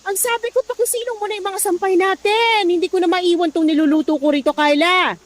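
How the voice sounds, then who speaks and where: A woman's voice: very high voice, fairly even intonation, brisk. A woman playing an exasperated middle-aged Filipino mother in a radio drama, scolding and grumbling about household chores. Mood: calling out. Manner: scolding, sighing, muttering to herself. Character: exasperated middle-aged mother nagging her son's lazy girlfriend.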